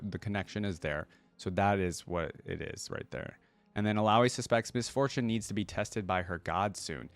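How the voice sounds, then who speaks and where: Male reaction host, lowish voice, dry close sound, conversational read-and-comment delivery that thinks out loud. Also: no echo. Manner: conversational, thinking aloud, musing. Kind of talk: casual reaction commentary, reading aloud and commenting.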